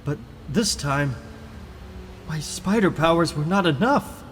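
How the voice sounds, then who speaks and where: A man's voice: fairly high pitch, animated, slow pace, in a large hall, slight background noise. A man playing a defeated, self-doubting young superhero in a dramatic comic book reading. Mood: despondent. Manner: dramatic, dejected.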